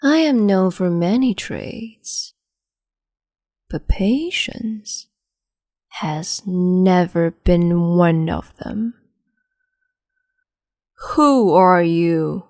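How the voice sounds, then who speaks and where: A woman voicing a cruel, capricious empress in an ASMR roleplay, speaking slowly and mockingly and slipping into whispers. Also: fairly low pitch, expressive, a little mellow, no echo, clean studio sound. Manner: slow, mocking, condescending, drifts into whispers.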